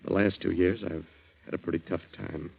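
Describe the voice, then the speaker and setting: Washed-up drifter, deep voice, rueful and breezy, muffled 1940s radio recording. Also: male voice, steady tone, normal speed, gravelly, slightly rough, full character voice. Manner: loose, rueful, sardonic. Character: down-on-his-luck, self-pitying, breezy drifter brother. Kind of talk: 1940s radio thriller acting.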